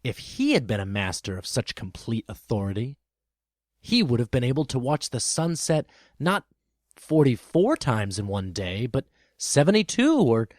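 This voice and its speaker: Male narrator, storybook reading, lively intonation, medium pitch, dry close sound. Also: dry recording. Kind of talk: expressive scripted storybook narration.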